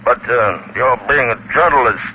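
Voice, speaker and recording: A man's voice: medium pitch, normal speed, lightly acted. A man playing a cautious, businesslike waxworks manager in an old radio drama, formal and faintly condescending in manner. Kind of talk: 1940s radio drama acting. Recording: muffled, noisy old radio recording.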